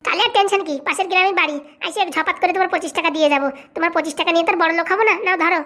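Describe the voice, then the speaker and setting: A performer voicing a scheming, sharp-tongued mother in a comedy cartoon, pushy and scornful. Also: very high-pitched, steady tone, very fast. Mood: brisk, haggling.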